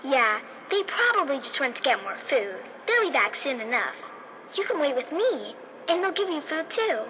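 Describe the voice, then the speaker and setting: Very high, lilting, eerie little girl. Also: big pitch swings, normal speed. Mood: innocent, eerie. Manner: childlike, singsong, naive. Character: eerily cheerful little girl, innocent and strange. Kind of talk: 1950s radio drama acting. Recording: muffled vintage radio sound, clear noise bed.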